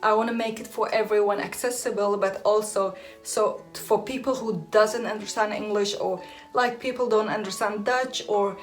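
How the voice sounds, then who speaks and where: A woman's voice: medium pitch, fairly even intonation, moderate pace, soft background music. A young woman from Iraq whose first language is Aramaic, chatting casually to camera as a hair and beauty vlogger, laughing often and restarting her sentences.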